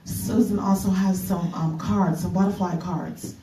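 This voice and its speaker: A middle-aged woman from the Bahamas, giving an earnest retreat keynote as a minister, halting with fillers and restarts. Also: mid-range voice, fairly even intonation, dark tone, slight background noise. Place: conference room. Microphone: handheld mic. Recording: echoey room from a distance.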